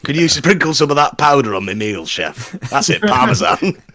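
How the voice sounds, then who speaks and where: A man's voice: medium pitch, big pitch swings, normal speed, sharp tone, slight background noise. A man trading casual, joking banter with other performers on a livestream.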